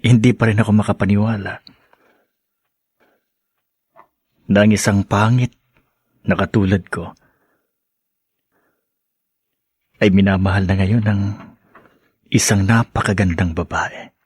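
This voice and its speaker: An actor playing a devoted, humble man in love in a radio drama, speaking haltingly and earnestly. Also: on the low side, fairly even intonation, a little mellow, husky, no echo. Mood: tender, awed.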